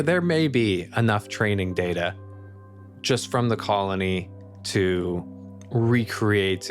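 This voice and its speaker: A man playing a weary, annoyed colony engineer in a tabletop game, speaking in a blunt, halting, grudging way. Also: lowish voice. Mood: skeptical. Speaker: non-player character in a tabletop game.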